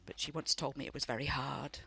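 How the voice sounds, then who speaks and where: A woman's voice: a bass voice, steady tone, brisk, vocal fry, sharp tone, breathy edge, no room sound, exaggerated voice. An actress in a radio play playing a loving, wistful elderly grandmother who tells family memories in a reflective way. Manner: reflective storytelling.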